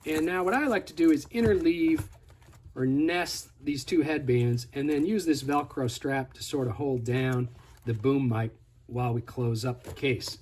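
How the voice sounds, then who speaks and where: A man's voice: medium pitch, a little slow, a little mellow, slight background noise. A man giving a casual, hands-on product tutorial in a conversational, step-by-step instructive way. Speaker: product demonstrator.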